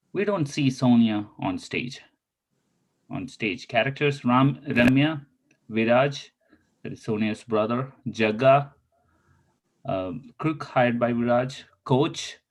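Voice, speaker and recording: A man reading out a synopsis and stage directions as the narrator of a play table read. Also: fairly low pitch.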